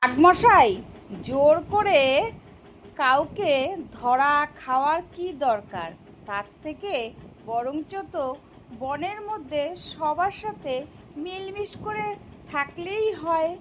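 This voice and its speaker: A woman voicing a sly, clever, persuasive fox in an animated children's story, with emphatic drawn-out delivery. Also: high-pitched, a little hiss or hum, poor audio. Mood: preachy. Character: sly, clever, smooth-talking fox outwitting a tiger.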